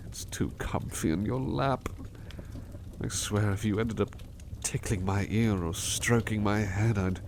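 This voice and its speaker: A man's voice: lowish voice, noisy background. A man playing an overworked, affectionate butler in an intimate ASMR roleplay, speaking politely and hesitantly. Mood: affectionate, cosy. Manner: hesitant, halting, polite old-fashioned phrasing, affectionate. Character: overworked, devoted, weary butler resting affectionately on partner's lap.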